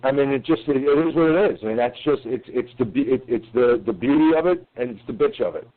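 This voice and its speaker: A man, co-hosting a casual sports podcast, animated, swearing and tripping over his words. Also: mid-range voice, fast talker. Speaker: podcast co-host. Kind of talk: casual sports call-in chat. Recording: tinny phone-like line.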